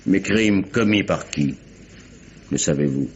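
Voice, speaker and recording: An actor playing a stern, formal British magistrate in a 1950s-style French radio mystery, measured and authoritative. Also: male voice, sits low, expressive. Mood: probing, formal. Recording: dull, noisy old radio recording.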